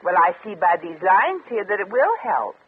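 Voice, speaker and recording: A woman's voice: medium pitch, sing-song, moderate pace, narrow, tinny audio, close to an everyday voice. Actress doing a streetwise lady fortune teller, flip and casual, very bouncy intonation, crackly old radio comedy. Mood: knowing, coaxing. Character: breezy, wisecracking, worldly woman fortune teller and drifter. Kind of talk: 1950s radio comedy acting.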